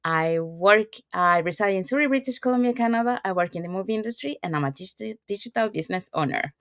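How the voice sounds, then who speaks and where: A woman's voice: medium pitch, expressive, fast talker, a bit bright, no echo, clean studio sound. A woman from Panama hosting an online live show, friendly and loose, with frequent fillers as she goes. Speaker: host.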